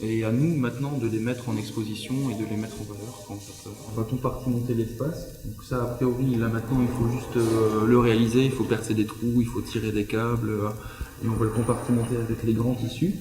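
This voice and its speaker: A man's voice: medium pitch, little pitch movement, a little fast. Casual man in an echoey hall. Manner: informal, hesitant. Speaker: exhibition organizer. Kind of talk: casual unscripted explanation. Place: large reverberant indoor space. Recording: echoey hall with long reverb.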